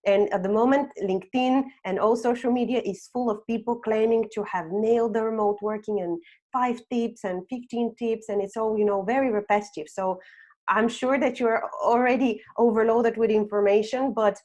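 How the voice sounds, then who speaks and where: A woman's voice: fairly high pitch, steady tone, normal speed, a little mellow. A woman in her thirties, originally from Bulgaria, presenting a webinar with slides and often adding "um" and "okay?". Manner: frequent "um" and "okay?" tags. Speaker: webinar host, HR consultant.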